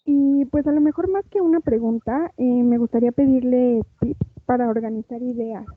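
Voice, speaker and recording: A young woman, a student, politely asks a question in an online class. She hesitates and fills pauses with "eh". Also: fairly high pitch, husky. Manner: hesitant, polite, many fillers. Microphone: video call. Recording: dry tinny call line.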